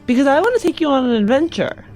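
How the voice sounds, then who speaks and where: A woman's voice: high-pitched, big pitch swings, fast, darkish tone. A woman playing a tabletop role-playing game, improvising dialogue and chatting casually. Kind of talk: improvised in-character role-play and casual chat.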